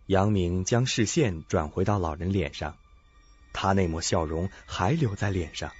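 A man's voice: deep voice, normal speed, breathy edge. A man narrating a scripted suspense radio drama as storyteller. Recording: dull lo-fi audio with faint music.

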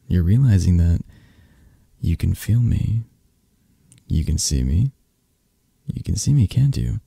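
A man playing a possessive, flirtatious mafia boss who comforts a lover in intimate ASMR roleplay, murmuring softly and haltingly in a breathy, gravelly voice. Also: deep voice, no echo, exaggerated voice. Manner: slow, soft, halting, soothing murmur.

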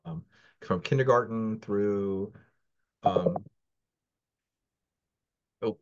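This is a man in his sixties who grew up in the Philippines but talks with an American accent. He rambles through his stories with many fillers and restarts, in a somewhat low voice. The audio has the muffled, lo-fi sound of a video call. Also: extremely slow, noisy background. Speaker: interview guest. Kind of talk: casual interview. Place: home, virtual background.

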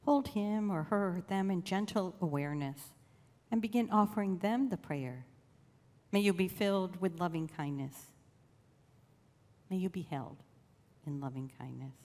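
A woman leading a guided meditation in a slow, gentle, soothing way with long pauses. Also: on the low side. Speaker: church meditation leader. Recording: long reverb of a large room.

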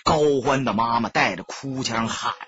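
A man's voice: mid-range voice, moderate pace, fairly bright tone, dull audio. A man narrating an audiobook as dramatic scripted storytelling.

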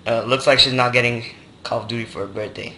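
A young man from Canada making a casual, deadpan prank call over the internet. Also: medium pitch, fast. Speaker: prank caller. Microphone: computer voice call. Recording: internet call with faint noise.

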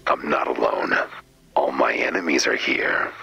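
A man's voice: rumbling low, lively intonation, unhurried, vocal fry, sharp tone, slightly rough, muffled recording, full character voice. A man voicing a brooding, vengeful masked vigilante in a video game, terse and grim in a whispery growl. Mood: grim resolve. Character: brooding, vengeful, mysterious cloaked male vigilante antihero. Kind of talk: video game character dialogue.

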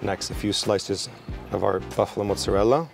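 Young guy with a gravelly voice walking through a recipe outside by the grill, easygoing, soft music behind. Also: fairly low pitch, moderate pace. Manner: relaxed, explains each step as he works. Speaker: cooking show chef. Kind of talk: cooking demonstration. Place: outdoor garden by a barbecue grill.

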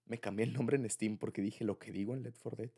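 A man's voice: fairly low pitch, steady tone, fairly quick, mellow, slightly rough. A man with a Mexican accent speaking in an informal, affectionate, rambling way, as in a personal ASMR boyfriend roleplay.

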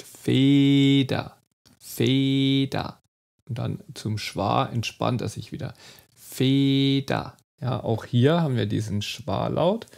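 A middle-aged man teaches pronunciation in an online lesson, speaking slowly and with care. His voice is dark and gravelly, and he repeats each model word to demonstrate it. He sits at a desk in a small room at home. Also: mid-range voice. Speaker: German teacher.

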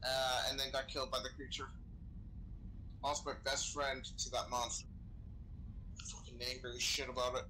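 A man's voice: medium pitch, flat delivery, normal speed, long pauses, sharp tone. A man improvising in character over game voice chat, explaining things in a casual, sweary way. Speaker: role-play gamer playing a crew member. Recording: noisy gaming voice chat.

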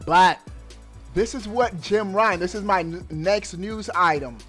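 A man in his thirties giving excited, slangy gaming news commentary into a headset mic, emphatic and drawing out his words. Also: sits high, soft background music. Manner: emphatic, animated delivery with drawn-out words, slangy. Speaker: gaming YouTuber. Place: indoors at a streaming setup.

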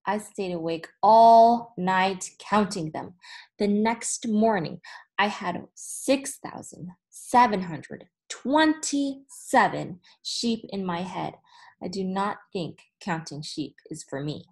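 Female teacher, thirties, medium pitch, very unhurried children's read-aloud with careful, expressive emphasis. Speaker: elementary school teacher. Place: small indoor room with whiteboard.

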